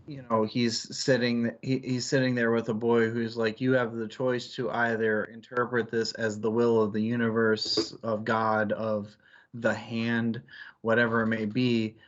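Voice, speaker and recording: A man's voice: mid-range voice, monotone, a little slow, dull audio. A man co-hosting a casual book discussion, talking in a reflective, analytical and wordy way with digressions. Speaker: co-host of a book club stream.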